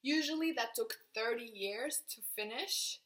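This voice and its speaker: A woman's voice: fairly high pitch, a little slow, fairly bright tone. A young woman from Norway, explaining her self-study to the camera in a casual, thinking-aloud way and often restarting her sentences. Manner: thinking aloud, restarting and trailing off while writing on a board. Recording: roomy echo.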